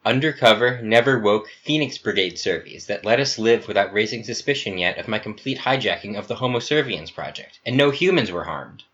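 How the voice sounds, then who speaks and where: A man's voice: on the low side, expressive, a little fast, few pauses, a bit bright, dull audio, a little hiss or hum. A man voicing a smug, scheming Survey leader who talks in a cool, self-assured, chummy way.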